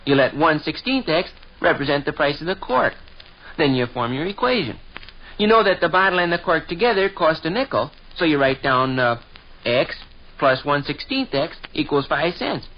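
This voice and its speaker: An actor playing an earnest, patient American schoolboy nephew in a chummy 1940s radio comedy style. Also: male voice, a little high, animated, normal speed, natural voice. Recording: dull vintage 1940s radio recording.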